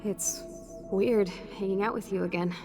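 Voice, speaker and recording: A woman's voice: medium pitch, fairly even intonation, normal speed, natural voice. An American woman playing a brash, rebellious, loyal teenage girl in a video game, slangy and cocky. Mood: excited. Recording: music behind the voice. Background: game soundtrack music.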